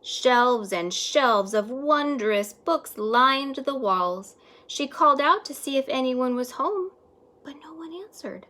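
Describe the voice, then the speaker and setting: A woman teacher reading a children's story aloud expressively, stretching words and hushing some for emphasis. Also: high-pitched, expressive, slow.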